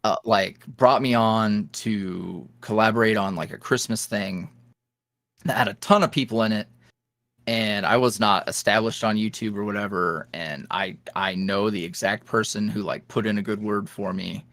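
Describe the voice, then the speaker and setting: A man's voice: on the low side, normal speed, no echo. A man who makes ASMR roleplays, chatting loosely as a podcast guest, often trailing off and laughing. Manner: loose and animated, trailing off and restarting, laughing between thoughts. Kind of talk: casual interview chat.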